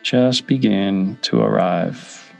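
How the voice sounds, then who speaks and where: A man leads a guided meditation at a very slow pace, leaving room between short, measured phrases. His medium-pitched voice often settles into a gravelly fry. Soft music plays behind him. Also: big pitch swings. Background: background music.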